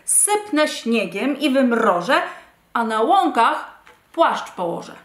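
A woman voicing a stubborn, haughty Winter spirit in a children's story, with loud, defiant declarations. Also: fairly high pitch, big pitch swings, takes it slowly, reverberant, full character voice. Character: stubborn, haughty personified Winter who refuses to leave.

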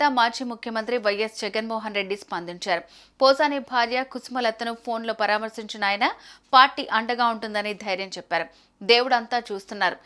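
High-pitched woman reading the news. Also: fairly bright tone. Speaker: news presenter. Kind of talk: scripted news narration.

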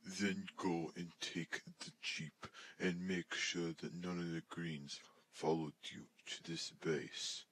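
A man voicing a calm, commanding toy army scientist in a stop-motion film. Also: deep voice, takes it slowly, hardly any pauses, dry recording, exaggerated voice, poor audio.

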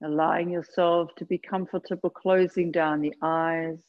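A woman leading a guided meditation, speaking gently and unhurriedly in short phrases with long pauses between them. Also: sits low, flat delivery, highs cut off. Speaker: yoga nidra meditation guide. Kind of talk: guided meditation, slow soothing instruction.

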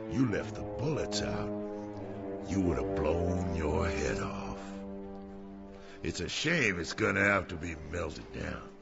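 Man acting gravelly, swooping-voiced wry cop. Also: sits low, sing-song, slow pace, heavily acted. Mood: wry, chiding. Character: wry, seasoned male police officer lecturing a suspect. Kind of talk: radio drama acting. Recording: dull radio drama sound with music.

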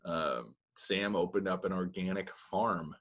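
A man's voice: low voice, a little slow. A man in his thirties, a musician guest, talking casually and loosely with many fillers over a video call from a small room. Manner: relaxed, rambling, many fillers. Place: small room with blinds, indoors. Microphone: laptop webcam mic on video call.

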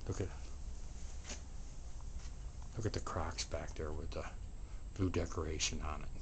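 A man with a deep voice gives a promotional tour of a shop, speaking from behind a handheld camera. He names items one after another in short, unhurried phrases and often repeats himself. Light room noise sits behind his voice. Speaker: antique mall booth presenter. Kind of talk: promotional walkthrough narration. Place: indoor antique mall showroom. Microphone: handheld camera, operator speaking from behind it. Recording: steady background room noise.

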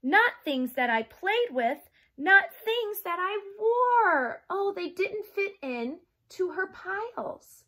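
Female children's read-along teacher, thirties, bright tone, swooping sing-song delivery, engages listeners directly. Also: extremely high pitch, slow. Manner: animated, sing-song, asking the listeners questions. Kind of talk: expressive read-aloud to young children with chatty asides. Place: small child's bedroom, indoors.

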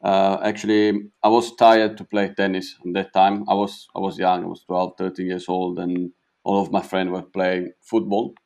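A young Italian man with a slight South African tinge, a pro golfer answering interview questions in halting English that repeats words. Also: deep voice, level tone, vocal fry, a little mellow. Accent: Italian, with a touch of South African. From Italy.

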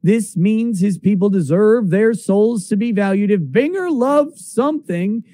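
A man's voice: sits high, expressive, measured pace, dark tone, no room sound, studio quality. A middle-aged man reading a text aloud in a declamatory, emphatic, dramatic way.

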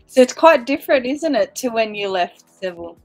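Casual female host, forties, chatty online interviewer on a home video call, slightly higher voice. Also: lively intonation, a little fast, faint music behind.

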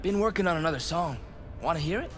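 An eager, unsure young guy voiced by a man in a video game, casual and a bit hesitant. His voice is slightly high and swings around in pitch, with music playing clearly behind it. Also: lively intonation, fast, close to an everyday voice. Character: eager, self-doubting boy learning guitar. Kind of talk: naturalistic video game acting.

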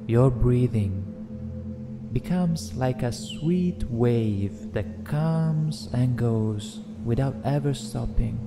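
A man narrating a guided meditation in a gentle, soothing, deliberate way, leaving long pauses between phrases. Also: mid-range voice, expressive. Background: meditation music with singing bowls.

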